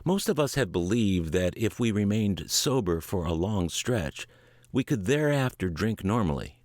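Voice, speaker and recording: A middle-aged man reading a book aloud word for word, measured and earnest, dropping low at the ends of sentences. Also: sing-song, darkish tone, no echo, studio quality. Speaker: audiobook reader.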